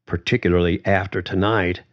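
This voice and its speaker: A man's voice: sits low, fairly even intonation, lo-fi sound, natural voice. A man voicing a young woman, a daughter who answers her father hesitantly. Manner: hesitant, halting replies. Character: young woman, daughter, shaken but frank.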